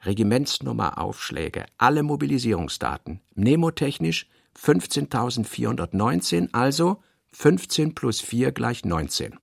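A man's voice: medium pitch, big pitch swings, measured pace, creaky, slightly rough, dry recording, studio quality. A man giving a dramatic literary reading for a radio play, often in clipped, note-like phrases. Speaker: literary reader.

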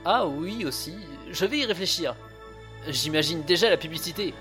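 A man playing a high-voiced, eager inventor brother. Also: animated, normal speed, music in the background, close to an everyday voice. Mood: musing. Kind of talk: audio drama acting.

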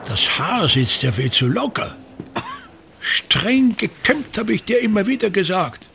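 An actor playing a pompous, tyrannical ageing actor-manager, declaiming contemptuously and repetitively in a radio drama. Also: male voice, high-pitched, big pitch swings, unhurried, creaky, bright, forceful tone, slight background noise, full character voice. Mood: exasperated, commanding. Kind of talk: theatrical German radio drama monologue.

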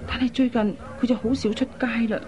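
An actress playing a worried, caring young woman in a radio drama, with an earnest, expressive delivery. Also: fairly high pitch, a little fast, soft background music, lightly acted. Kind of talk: Cantonese radio drama acting. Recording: dull lo-fi recording, faint noise.

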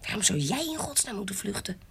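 An actress playing a shrewd, defiant adventuress in an audio period drama, guarded, cool and commanding. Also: female voice, medium pitch, very animated, fast, exaggerated voice. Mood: wary. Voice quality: husky. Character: shrewd, defiant adventuress, forger and courtesan posing as noble. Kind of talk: audio drama acting in Dutch period piece.

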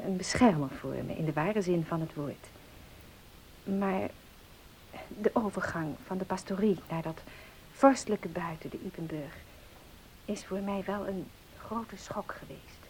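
A woman's voice: fairly low pitch, a little mellow, a little hiss or hum, close to an everyday voice, low-quality recording. An actress playing an earnest, troubled young woman in a Dutch radio play, speaking politely, formally and with some hesitation.

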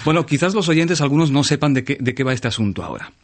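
A man's voice: a little high, expressive, quick pace. Male radio host in friendly conversation. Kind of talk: friendly on-air interview chat.

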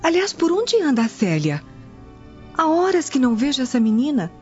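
A woman's voice: sits high, very animated, moderate pace, a little mellow, faint music behind. An actress with a Brazilian accent playing an authoritative Roman lady of the house in a radio drama, formal and commanding. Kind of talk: dubbed radio drama acting. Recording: dull lo-fi audio.

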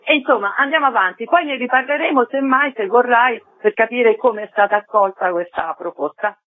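A woman's voice: a little high, fairly quick, no room sound. Wordy woman on phone-like audio. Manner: wordy, formal, winding phrases. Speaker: interviewed guest, event organiser. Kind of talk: discursive radio interview talk. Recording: dry, narrow telephone-like radio sound.

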